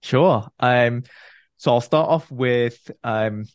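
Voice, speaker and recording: A man from Malaysia in his thirties, a guest researcher giving measured, explanatory interview answers with a few restarts. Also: medium pitch, smooth, no room sound, clean studio sound.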